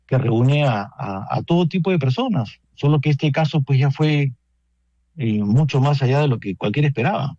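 A man with a Peruvian accent, a priest answering radio questions in a halting, thoughtful way with many restarts. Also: medium pitch, dark tone, dry recording, highs cut off. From Peru. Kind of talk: radio interview guest.

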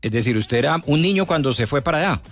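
A man's voice: fairly high pitch, lively intonation, fast talker, faint music behind. A man, a radio reporter who introduces a guest and interviews him politely and formally.

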